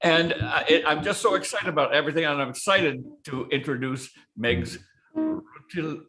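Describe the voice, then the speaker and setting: A man's voice: a little high, sing-song, moderate pace, pushed and bright, slight background noise, low-quality recording. A man on a video call, joking and rambling as he introduces the next speaker. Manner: jokey and rambling.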